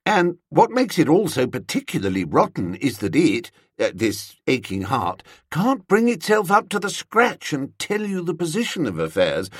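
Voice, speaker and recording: A man playing an earnest, foppish young upper-class British gentleman in a comic audiobook, slangy, emphatic and hesitant. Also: fairly high pitch, husky, no echo, studio quality, pitched above the performer's own voice, full character voice.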